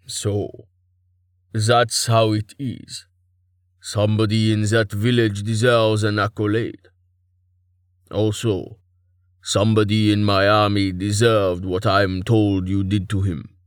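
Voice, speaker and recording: Audiobook role: disdainful male god voiced by a male narrator, low, very slow, patronizing and commanding. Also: little pitch movement, clear, resonant tone, dry recording, studio quality. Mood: cold, imperious. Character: stern, contemptuous male god, imperious and disdainful. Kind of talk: audiobook character acting.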